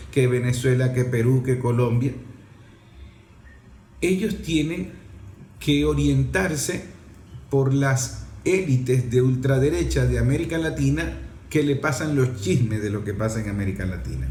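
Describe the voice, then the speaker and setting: A man's voice: medium pitch, darkish tone. A man giving unscripted political commentary in an emphatic, opinionated and explanatory manner. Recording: echoey room, faint background noise.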